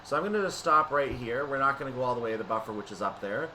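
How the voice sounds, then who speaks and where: A man's voice: medium pitch, animated, rapid-fire, bright, forceful tone, slight background noise. A man giving explanatory, methodical gameplay commentary, thinking aloud as he plays a train simulator. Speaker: video game streamer playing a train simulator.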